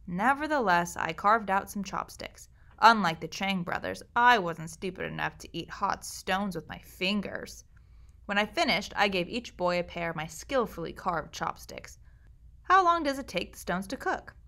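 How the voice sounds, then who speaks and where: A woman teacher reading a children's story aloud, expressive storybook reading. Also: lowish voice, moderate pace.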